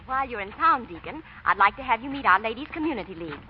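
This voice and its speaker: A woman's voice: on the high side, big pitch swings, fast. An actress playing a gracious, slightly flustered small-town woman, polite and sociable, in old radio drama. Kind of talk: 1940s radio acting. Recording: muffled, noisy old radio recording.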